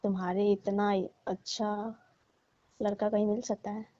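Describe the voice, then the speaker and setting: Woman playing a coaxing, mellow-voiced flirty auntie. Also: medium pitch, flat delivery, measured pace, no echo, close to an everyday voice. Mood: pleading. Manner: coaxing, teasing, playful. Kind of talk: staged comic phone-call conversation. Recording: dull, lo-fi phone call recording.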